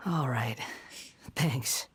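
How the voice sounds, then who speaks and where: An actor voicing a world-weary young man who speaks quietly, often half-whispered. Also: mid-range voice, drawn-out words, a little hiss or hum. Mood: brooding.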